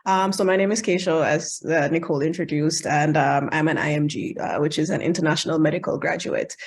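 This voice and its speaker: A woman's voice: low voice, fairly even intonation, fairly quick, slightly rough. A young adult woman with a Jamaican accent, speaking on a video call as a panelist in an upbeat, proud way. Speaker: panelist, newly matched radiology applicant.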